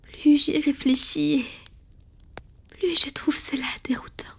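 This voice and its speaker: Casting: tender, morally torn vampire lady played by a woman, high breathy voice, whispered intimate ASMR roleplay delivery. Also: fairly even intonation, heavily acted. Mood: troubled, reflective. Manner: slow, intimate, hesitant. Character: tender, conflicted wealthy female vampire confiding in her beloved.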